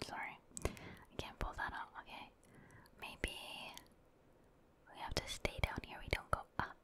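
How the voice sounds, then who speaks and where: Slow whispering woman, ASMR gaming. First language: Filipino (Tagalog). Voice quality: breathy unvoiced whisper. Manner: gentle repetition of short phrases, musing aloud to viewers. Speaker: ASMR gaming video creator. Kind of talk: whispered ASMR game commentary.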